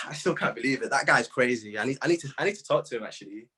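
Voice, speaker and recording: A young man chatting casually in an interview, telling stories in an animated, slangy way with plenty of "bro" and "man". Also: medium pitch, quick pace. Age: young adult. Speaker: music artist being interviewed. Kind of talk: casual chat.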